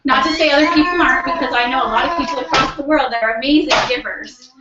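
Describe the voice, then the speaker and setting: High-voiced enthusiastic woman in a roomy recording. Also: fast talker. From Canada. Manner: enthusiastic, conversational, addresses the audience with questions. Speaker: presenter at a company team meeting. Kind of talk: informal motivational business presentation. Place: room in a house, live audience. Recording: roomy, distant, dull, noisy live-feed recording.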